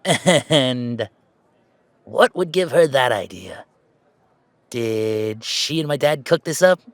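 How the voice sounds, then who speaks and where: A man's voice: medium pitch, expressive, takes it slowly, dry recording. Man acting a chatty, anxious young guy, dry close sound. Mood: indignant, bitter. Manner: chatty, hesitant, rambling. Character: affectionate, anxious, self-deprecating young gamer, wary of family. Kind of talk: conversational audio roleplay acting.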